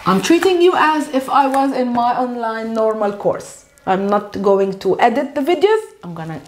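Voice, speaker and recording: Animated, high-voiced woman teaching at a whiteboard. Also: slight background noise. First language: Arabic. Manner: animated and emphatic, repeating words slowly. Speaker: Arabic teacher. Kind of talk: whiteboard language lesson. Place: bright indoor room at a whiteboard. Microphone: lapel mic.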